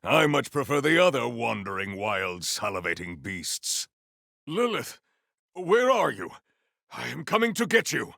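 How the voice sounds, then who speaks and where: Stoic video game warrior, formal measured diction, heavy gravelly creak, close dry recording. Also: male voice, mid-range voice, very animated, pushed and bright, dry recording, full character voice. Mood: commanding, fierce.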